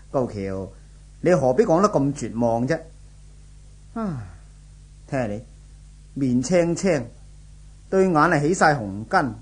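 An actor playing a friendly, inquisitive, breezily reassuring office colleague in a 1970s radio drama, with a Hong Kong Cantonese accent. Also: male voice, mid-range voice, sing-song, slow, long pauses, breathy edge, full character voice. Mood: teasing.

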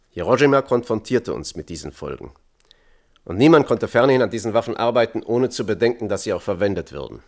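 An actor playing a thoughtful, conscience-troubled physicist testifying as a witness in a German radio play, measured and candid. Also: male voice, medium pitch, darkish tone, lightly acted. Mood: grave, troubled.

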